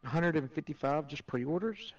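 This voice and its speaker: A man on a gaming panel chimes in helpfully with short replies. His voice sits a little high and sounds muffled and set back from the mic. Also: expressive, far from the mic. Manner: helpful, quick affirmations. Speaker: co-host panelist. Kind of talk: casual gaming chat.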